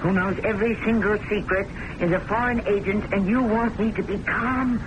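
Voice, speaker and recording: A woman's voice: medium pitch, fairly even intonation, a little fast, highs cut off, noisy background, natural voice. An actress playing an anxious, prim woman secretary who speaks urgently and insistently in an old-time radio drama. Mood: agitated. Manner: urgent, emphatic, easily agitated.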